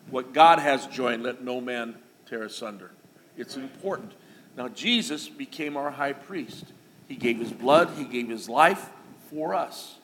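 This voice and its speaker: A man's voice: mid-range voice, fairly bright tone. A middle-aged man preaching a sermon to his church, talking in a conversational, folksy way with asides and small jokes. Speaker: pastor. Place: church sanctuary. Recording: long reverb of a church hall, faint noise.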